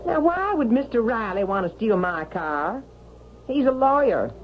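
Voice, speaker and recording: A woman's voice: fairly high pitch, sing-song, unhurried. A middle-aged female servant in a muffled, lightly hissy vintage radio drama. She is folksy and fussing, with hugely swooping intonation. Her manner is defensive and easily flustered. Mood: puzzled, flustered. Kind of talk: 1970s radio drama acting.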